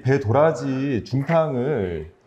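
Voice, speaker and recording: A man's voice: mid-range voice, animated, slow, dull audio, background noise. A man speaking as a doctor hosting a health show, chatting casually and good-humouredly as he explains things. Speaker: Korean medicine doctor and health show host.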